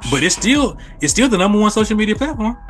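A man's voice: high-pitched, sing-song, fast, soft background music. A man talking casually in a podcast conversation.

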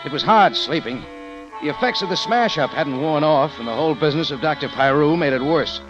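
A man's voice: on the high side, animated, a little fast, close to an everyday voice. A male actor with an American accent playing a tough, weary adventurer narrating his own story in a wry 1940s radio drama style. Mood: weary, brooding. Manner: hard-boiled, wry, dramatic. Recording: dull vintage radio recording, music behind.

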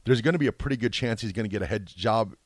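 A man's voice: on the low side, rapid-fire, no room sound. A man co-hosting a sports talk show, discussing things in a casual conversational style. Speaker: sports radio co-host.

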